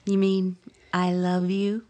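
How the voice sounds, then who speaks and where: A woman playing a gentle, patient wife and mother in a 1950s radio comedy, with a soothing, affectionate manner. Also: fairly low pitch, monotone, slow pace. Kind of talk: 1950s radio sitcom acting.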